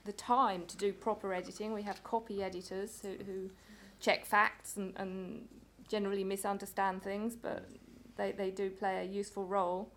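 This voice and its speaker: A woman speaks on a roundtable panel about her translation work. Her voice is middle-pitched, and her delivery is measured, with small stumbles along the way. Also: level tone, slight background noise. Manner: measured, with stumbles and repeated words. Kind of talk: panel talk.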